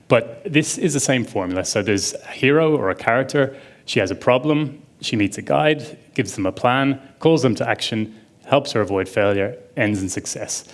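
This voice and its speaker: A man giving a casual conference talk on stage, chatty and enthusiastic, with lots of fillers. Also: on the low side. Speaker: conference speaker. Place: conference stage before an audience.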